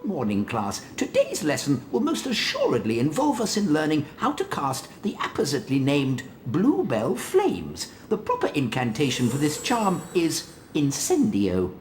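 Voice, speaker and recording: Fussy British wizard professor, swooping pitch. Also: male voice, wildly swooping pitch, moderate pace, faint music behind. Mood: formal, instructing. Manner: formal, ornate, precise phrasing. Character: fussy old male wizard professor of charms. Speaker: teacher. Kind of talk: video game cutscene acting.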